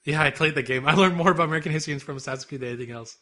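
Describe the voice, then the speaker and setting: A man's voice: fairly high pitch, racing, bright, forceful tone. A young Canadian man co-hosting a casual podcast, rambling in a laid-back way and laughing often. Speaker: podcast co-host.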